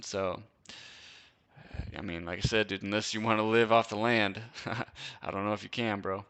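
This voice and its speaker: A young man from Wisconsin hosts a casual live-stream Q&A, his voice bright and crisp and pitched a little low. He talks loosely and slangily, tossing in "dude" and "bro" and laughing often. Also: steady tone, moderate pace. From Wisconsin, USA. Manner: laid-back slangy chat, lots of "dude" and "bro", frequent laughs. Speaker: host.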